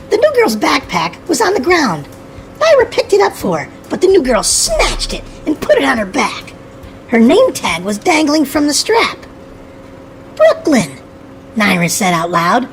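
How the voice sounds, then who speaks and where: A woman reading a children's storybook aloud in an expressive, animated way. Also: sits high, low-quality recording. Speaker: storybook narrator.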